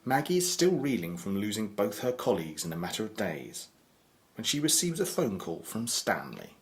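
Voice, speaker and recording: A man's voice: fairly low pitch, wildly swooping pitch, normal speed. A man narrating a supernatural audio drama in a scripted storytelling style. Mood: measured suspense.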